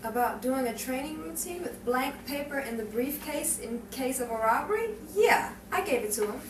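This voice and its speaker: Actress as loyal 1950s confidante, anxious, high animated delivery, roomy sound. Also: female voice, moderate pace. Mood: worried, confiding. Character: loyal, worried female confidante of a crime heroine. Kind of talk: 1950s crime melodrama acting. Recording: echoey room, distant mic, clear noise.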